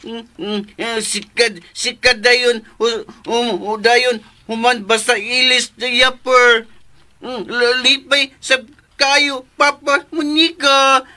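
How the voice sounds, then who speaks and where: A man playing a childlike, simple-minded man in a radio drama, with halting, repetitive speech and a Filipino accent. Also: very high-pitched, flat delivery, sharp tone, full character voice. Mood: childlike, happy. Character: childlike, simple-minded man devoted to his living doll. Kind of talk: Cebuano radio drama acting.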